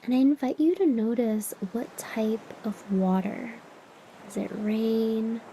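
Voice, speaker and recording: A woman leading a guided meditation as a teacher, soothing and unhurried, with long pauses and breathy phrase endings that drop toward a whisper. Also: on the high side, mellow, slight background noise, poor audio. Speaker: meditation teacher and podcast host.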